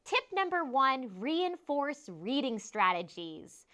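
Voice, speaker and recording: A woman with a somewhat high voice hosts an instructional piece as a teacher. Her delivery is scripted and clearly enunciated, with emphasis placed on the key phrases. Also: sing-song, slow pace, sharp tone, soft background music. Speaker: teacher and host of classroom tips show.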